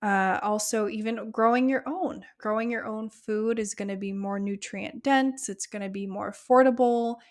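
A woman hosting a solo health podcast, her voice a bit hoarse and giving out, talking casually through lists with small fillers. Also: mid-range voice, steady tone, moderate pace, few pauses, a little mellow. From United States. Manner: conversational, list-like explanations with fillers. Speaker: podcast host, gut health practitioner.

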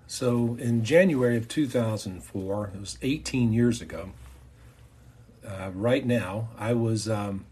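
A middle-aged man tells a personal story as a podcast host, reflective and halting. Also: lowish voice, darkish tone, breathy edge. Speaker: podcast host and former pastor.

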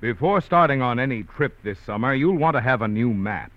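A man's voice: medium pitch, animated, fairly quick, no echo, faint music behind. A man reading a scripted commercial as a 1940s radio announcer, on a muffled old recording.

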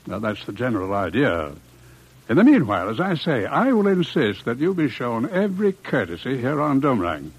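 Suave, husky older diplomat, old radio. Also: male voice, medium pitch, huge pitch swings, moderate pace, heavily acted. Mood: patient, reassuring. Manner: smooth, formal, diplomatic, hedging. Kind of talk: 1950s radio drama acting. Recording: vintage 1950s radio recording.

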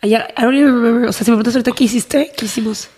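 A woman in her twenties with a Mexican accent and a husky voice, chatting casually and slangily as a podcast co-host, switching between two languages. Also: a little high, steady tone, racing, darkish tone. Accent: Mexican Spanish. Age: 20s. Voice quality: husky, hoarse by nature. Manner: slangy, informal. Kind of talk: casual chat, mixing Spanish and English.

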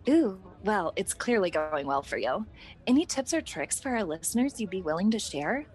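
Casting: actress as eager young female entertainment reporter, mid-pitched, gushing and polite, comic radio sketch. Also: sing-song, moderate pace, darkish tone, lightly acted. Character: chirpy, eager young woman red carpet reporter.

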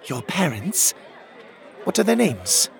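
A man voicing a hurried, dismissive young townswoman in a storybook, curt and brisk in manner. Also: fairly high pitch, huge pitch swings, vocal fry, some chatter behind, voice pushed up, heavily acted. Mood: puzzled.

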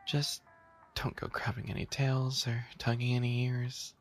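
A man playing a flustered, bookish animal-boy maid cafe manager in boyfriend ASMR roleplay, speaking softly and hesitantly with a teasing sweetness. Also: medium pitch, little pitch movement, unhurried, slight background noise, close to an everyday voice. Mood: friendly, playful.

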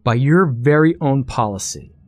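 A man's voice: medium pitch, animated, normal speed, dry recording, clean studio sound, faint music behind, voice pushed up, lightly acted. A man playing a clever, determined contestant in a storybook, arguing his case in an assertive, matter-of-fact way. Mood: emphatic, triumphant. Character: determined, clever male contestant, a loophole-hunting everyman.

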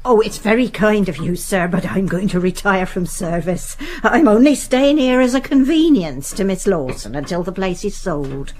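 An actress playing a middle-aged English house servant, chatty, homely and deferential, in a radio drama with an English accent. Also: female voice, mid-range voice, normal speed, few pauses, a little mellow, slight background noise, lightly acted. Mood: confiding, respectful.

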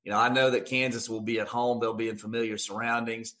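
A man's voice: mid-range voice, level tone, quick pace, a bit bright. A middle-aged man, a radio announcer, chatting casually as an interview guest in a folksy, emphatic way, repeating words for stress. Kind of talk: casual sports interview chat.

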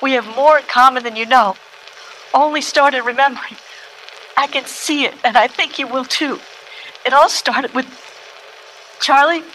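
An actress playing a lounge singer confiding intimately and dramatically in a detective, as a noir radio monologue. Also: female voice, high voice, normal speed, long pauses, fairly bright tone, rough recording. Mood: uneasy, fearful. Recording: recorded tape message with background noise.

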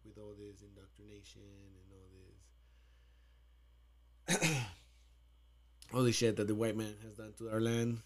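Soft-spoken man with a Mexican Spanish accent. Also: fairly low pitch, steady tone, measured pace, pauses often. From Mexico City. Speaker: podcast guest. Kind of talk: casual podcast chat.

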